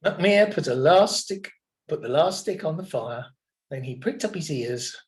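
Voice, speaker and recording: A man narrating a children's storybook in an expressive, dramatic manner. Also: on the high side, unhurried. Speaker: children's story reader at a primary school.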